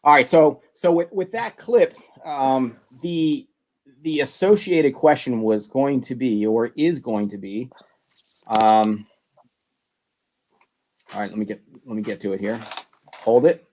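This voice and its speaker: A man's voice: medium pitch, a little slow, long pauses, warm tone. Rambling male host on a video call. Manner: rambling, restarts, jokey questions. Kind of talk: live stream interview.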